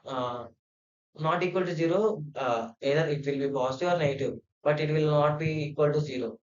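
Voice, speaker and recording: A man giving a hesitant, unscripted explanation, with many fillers and restarts. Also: fairly high pitch. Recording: roomy, dull lo-fi sound.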